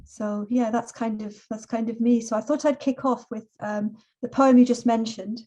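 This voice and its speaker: A middle-aged woman poet and teacher, talking and reading in a reflective, measured way as an invited guest. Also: fairly high pitch, steady tone, mellow. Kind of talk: relaxed personal talk and poetry reading.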